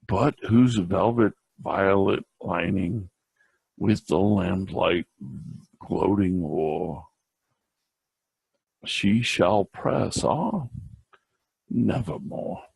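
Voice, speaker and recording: Slow, gravelly man reciting dark verse. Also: low-pitched, no room sound, low-quality recording. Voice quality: gravelly, husky. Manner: slow, theatrical, with drawn-out pauses and emphatic repeats. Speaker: amateur reader of a classic poem. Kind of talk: dramatic poetry recitation.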